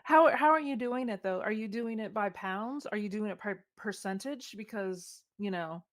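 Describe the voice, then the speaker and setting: A woman co-hosting a podcast in casual chat, animated and full of questions. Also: mid-range voice, moderate pace. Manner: animated, inquisitive, laughing. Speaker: podcast co-host.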